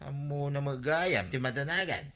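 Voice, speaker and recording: A complaining village neighbour in a comic Ilocano radio drama. His Ilocano-accented voice is intensely sharp and forceful, argumentative and stubborn. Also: mid-range voice, normal speed. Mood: grumbling. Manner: emphatic, argumentative.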